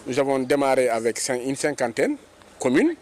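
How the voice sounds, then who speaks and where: A man's voice: medium pitch, very animated, fairly quick, a little mellow. A man with a Senegalese French accent explaining things somewhat hesitantly in a press interview.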